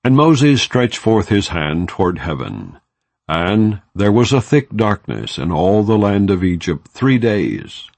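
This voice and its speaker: A man narrating an audiobook of scripture in a solemn, measured, formal manner, without dramatizing the dialogue. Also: fairly low pitch, very animated, gravelly, a little mellow, dry recording. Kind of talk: non-dramatized scripture reading.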